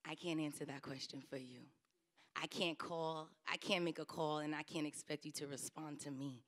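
A woman's voice: low voice, fairly even intonation, normal speed. A young woman preaching a trial sermon at a lectern into a handheld mic, earnest, her emphasis rising and falling. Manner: earnest, rising and falling emphasis, softening to a near whisper at the close. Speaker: licentiate preacher. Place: church stage, indoor. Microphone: handheld microphone at a lectern.

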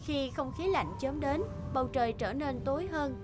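A woman narrates a fairy tale in a high voice. She reads from a script as the storyteller of a cartoon, with music playing clearly behind her. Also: moderate pace. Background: background music.

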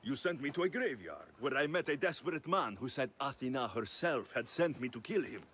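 Wry mercenary hero, lively pitch. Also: male voice, fairly quick, a little hiss or hum. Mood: questioning, earnest. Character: wry, confident young Greek mercenary hero. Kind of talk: video game cutscene dialogue.

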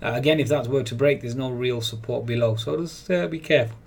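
A man's voice: mid-range voice, quick pace, vocal fry, a little mellow. A man giving daily market commentary over trading charts, hesitant with many fillers and frequent repeats. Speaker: market analyst. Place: voice-over on screen recording.

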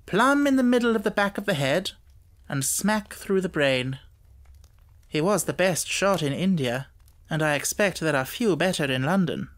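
A man's voice: fairly high pitch, expressive, normal speed, a bit bright. A man voicing a keen, self-assured Victorian detective with an emphatic, lecturing manner in an audiobook. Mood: animated, wry.

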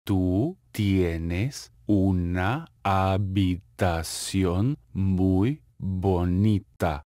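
A man reading short phrases for a language lesson, saying each one slowly and clearly and then repeating it. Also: low-pitched, warm tone, no room sound. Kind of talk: slow, carefully enunciated drill reading. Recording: dry, close studio voice-over.

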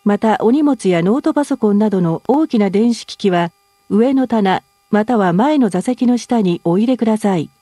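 Very slow, mellow woman cabin announcer. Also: mid-range voice, expressive, breathy edge, no room sound, studio quality. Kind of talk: formal scripted cabin announcement.

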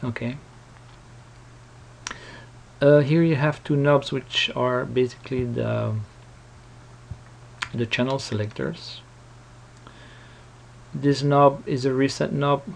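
Man, tutorial presenter, hesitant, unhurried pace, vocal fry. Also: medium pitch, fairly even intonation, a little hiss or hum. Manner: hesitant, halting explanation. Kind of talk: casual unscripted screen-recording walkthrough.

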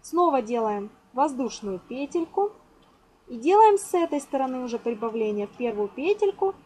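High, lively woman giving a tutorial. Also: normal speed, poor audio. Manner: instructional, counts aloud, uses affectionate diminutives. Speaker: craft tutorial host. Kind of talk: step-by-step crochet lesson, informal narration. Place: indoors at a table. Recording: clear background noise, amateur home recording.

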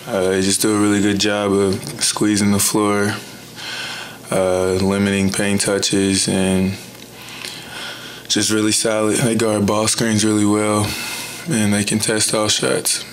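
Young male athlete, low voice, monotone, blunt and measured at a press table mic. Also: slight background noise. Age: young adult. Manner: measured, even, blunt, frequent "you know". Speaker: college basketball player. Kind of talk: postgame press conference answers. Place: indoor press room. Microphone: table mic in front.

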